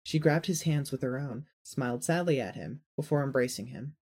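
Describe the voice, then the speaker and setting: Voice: medium pitch, normal speed, a little mellow, breathy edge. A narrator reading a scripted second-person story for an audio drama.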